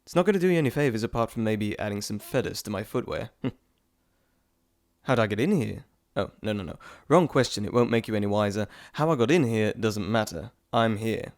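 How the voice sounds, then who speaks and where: A man's voice: lowish voice, animated, moderate pace, no echo. A man playing a glib, dry-sounding trickster god. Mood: playful, exasperated. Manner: chatty, theatrical, teasing, self-interrupting. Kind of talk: scripted audio roleplay addressed to the listener.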